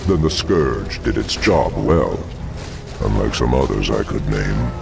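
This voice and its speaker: A scheming demon in a game cutscene. His growl is extremely gravelly and he takes his time, smug and courtly, with music behind him. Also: animated, full character voice. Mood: smug, sly. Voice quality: extremely deep, growling rasp. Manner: slow, sardonic, courtly. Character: sly, smug male demon dreadlord schemer. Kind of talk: video game cutscene acting.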